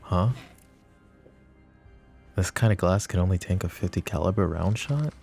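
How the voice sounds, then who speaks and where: A very low, gravelly voice for a brooding mafia boss, acted by a man. He is possessive and sardonic yet protective, speaking intimately and darkly as in an ASMR audio roleplay, with faint music underneath. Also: animated, moderate pace, a little mellow. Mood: tense, brooding. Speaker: voice actor. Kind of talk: boyfriend ASMR roleplay acting.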